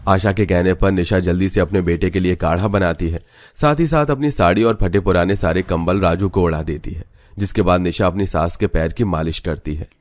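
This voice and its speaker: Low-pitched male storybook narrator, close and dry. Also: a little fast, a little mellow, dry recording.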